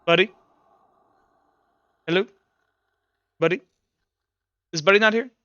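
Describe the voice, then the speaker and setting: A man's voice: high voice, slow pace, muffled recording, noisy background. A man speaking casually and informally as game master in an online tabletop game, thinking aloud as he goes. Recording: music behind the voice. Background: music.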